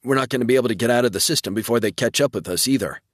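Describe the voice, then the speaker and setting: A man's voice: medium pitch, racing, no room sound, pitched above the performer's own voice, close to an everyday voice. A man reading as a grim male warship officer in a sci-fi audiobook. He gives blunt tactical warnings in a dry, close sound. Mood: grim, urgent.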